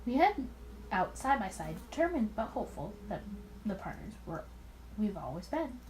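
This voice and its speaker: A woman's voice: mid-range voice, big pitch swings, moderate pace, a little mellow, low-quality recording. A woman reading a visual novel aloud with commentary, expressively and with stumbles and rereads, over some background noise. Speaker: let's player.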